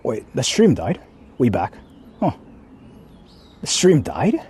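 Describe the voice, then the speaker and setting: A man's voice: mid-range voice, huge pitch swings, takes it slowly, mellow, husky. A young man streaming live while exploring outdoors, talking casually and rambling in asides to his viewers. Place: outdoors exploring a rural area.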